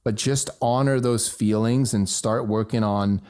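A man who grew up in Switzerland and speaks German as his first language, talking in a casual conversation with emphatic rises in pitch on key points. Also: fairly even intonation, a little slow, darkish tone.

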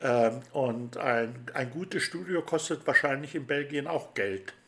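A man's voice: mid-range voice, a little slow, a bit bright. A man hosting an interview, asking rambling, friendly questions full of fillers. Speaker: interview host. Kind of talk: chatty radio-style interview.

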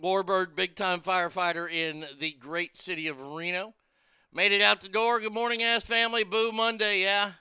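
A man hosts a casual talk radio show in a high, strikingly bright voice. He is loose, irreverent and crude as he reads out listener messages. The sound is dry and clear. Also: level tone, moderate pace, no echo.

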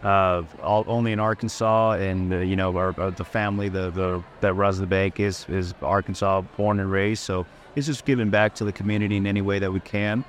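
A man's voice: low voice, fairly quick, hardly any pauses, a little hiss or hum. A man from El Salvador, a community banker, talking modestly with fillers as a guest at a live outdoor event. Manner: modest, relaxed, with fillers and restarts. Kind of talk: casual interview at a live event.

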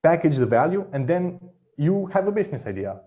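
A man hosting an interview, giving direct, encouraging advice in quick bursts, often repeating words as he talks. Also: on the high side, very animated, warm tone. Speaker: podcast host.

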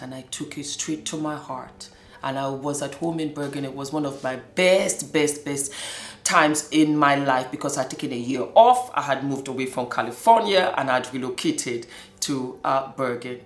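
A woman who grew up in Scotland, hosting a show and talking through her own experiences in an animated way, often breaking into laughter. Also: very deep voice, normal speed, reverberant. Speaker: host. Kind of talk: casual personal monologue.

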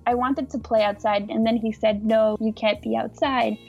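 A young woman from the United States telling her own story in a reflective, casual way, often pausing with "like". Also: on the high side, steady tone, vocal fry, a little mellow, dull audio, soft background music. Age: young adult. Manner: reflective, with frequent "like" and restarts. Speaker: interviewee.

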